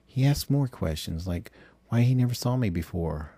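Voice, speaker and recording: A man playing a bitter, self-pitying middle-aged FBI agent who dictates confessions in a sardonic, deliberate way, in an audiobook. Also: on the low side, lively intonation, mellow, breathy edge, dry recording. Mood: confessional. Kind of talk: dramatized audiobook monologue.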